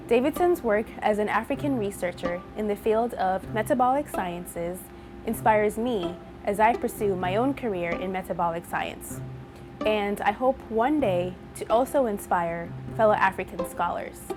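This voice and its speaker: A young woman from Zambia talks through her research in a measured, clear scripted narration. Her voice sits at an ordinary middle pitch. Music plays underneath her. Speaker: PhD researcher.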